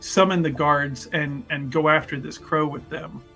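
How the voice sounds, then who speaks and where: A man's voice: fairly high pitch, steady tone, moderate pace, gravelly, bright, forceful tone, soft background music. A man casually describing his character's moves as a tabletop game player, repeating words as he thinks. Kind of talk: casual improvised game talk.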